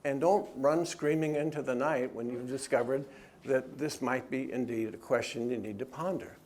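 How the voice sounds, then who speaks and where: A man's voice: mid-range voice, fairly even intonation, moderate pace, darkish tone, a little hiss or hum. A middle-aged man, the author, gives an earnest talk at a church and answers questions, often stopping and restarting. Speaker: author and speaker.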